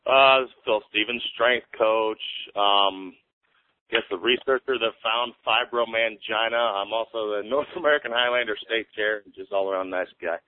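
A man's voice: fairly low pitch, moderate pace. Hesitant male podcast host, tinny line. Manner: hesitant, frequent ums. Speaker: podcast host, strength coach. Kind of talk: casual interview hosting.

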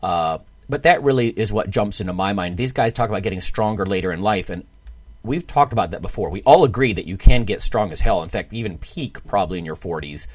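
A man's voice: lowish voice, animated, a little fast, dry recording. A man in his forties hosting a podcast, talking casually about fitness. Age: 40s. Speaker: podcast host.

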